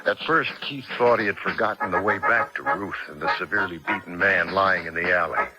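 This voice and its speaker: An American man with a fairly low voice narrates a radio drama as its host. It is a vintage broadcast with loud music around the scripted delivery. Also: a little fast, poor audio.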